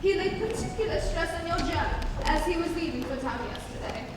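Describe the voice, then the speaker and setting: A woman's voice: very high-pitched, lively intonation, a little fast. A woman playing a stern, proper middle-aged governess with a formal, admonishing manner in a staged reading of a period comedy. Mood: prim scolding. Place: large indoor hall, live performance. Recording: distant, echoey noisy hall.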